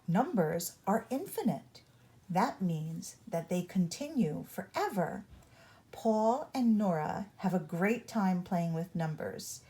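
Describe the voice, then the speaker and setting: Slow, expressive woman reading a storybook. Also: mid-range voice. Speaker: storyteller reading a children's book aloud. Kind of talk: read-along storybook narration for young children.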